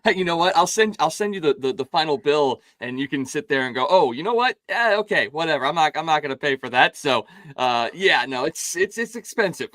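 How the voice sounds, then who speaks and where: A man hosting a sports talk show, his voice raspy and hoarse from calling games, chatting in an upbeat, casual way with many restarts. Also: a little high, expressive, fairly quick, few pauses, fairly bright tone, no room sound, studio quality.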